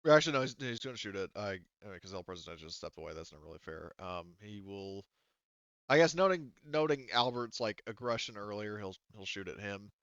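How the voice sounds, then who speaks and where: A man acting as game master, casually running a tabletop game and thinking aloud in a halting way, on slightly dull audio. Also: fairly low pitch, animated, a bit bright, smooth, no room sound. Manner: halting, talks through rules while thinking aloud.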